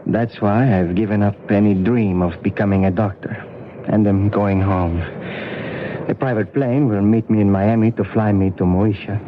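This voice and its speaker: An actor playing a hunted young royal in exile in a 1970s radio drama, earnest and apologetic. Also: male voice, on the low side, fairly even intonation, moderate pace, lightly acted. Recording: dull, faintly hissy old radio broadcast.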